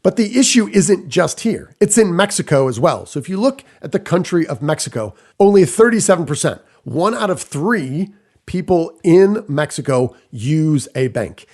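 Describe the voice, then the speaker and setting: Male podcast host, somewhat high voice, emphatic short phrases and rhetorical questions, scripted solo business commentary. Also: sing-song, moderate pace, darkish tone.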